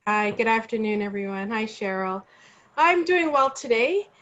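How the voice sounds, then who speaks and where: A woman giving reflective remarks as a panelist on a video call, measured and pausing between phrases. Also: on the high side, a bit bright. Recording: dull, muffled video call.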